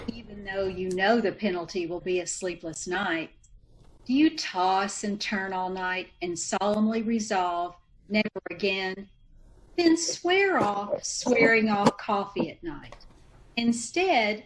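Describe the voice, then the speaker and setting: Unhurried woman radio announcer, faint noise behind. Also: mid-range voice, low-quality recording. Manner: measured, salesmanlike. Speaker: radio announcer and commercial reader. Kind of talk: old-time radio scripted ad and narration.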